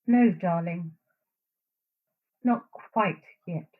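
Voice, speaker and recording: Radio drama: actress plays anguished secret lover, husky, clipped and earnest, thin phone-like recording. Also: female voice, lowish voice, very slow. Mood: subdued, resigned. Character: anguished, guilt-ridden woman in secret love affair. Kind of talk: radio play acting.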